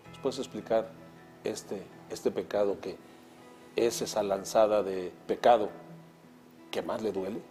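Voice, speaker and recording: A man hosting a religious talk program, speaking in a calm, reflective way. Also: fairly low pitch, a little slow, long pauses, faint music behind. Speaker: TV program host.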